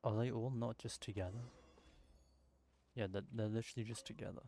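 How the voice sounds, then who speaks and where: A man's voice: lowish voice, steady tone, a little fast, vocal fry, warm tone, a little hiss or hum. A man giving casual commentary while playing a video game, muttering puzzled asides to himself. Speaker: gaming streamer.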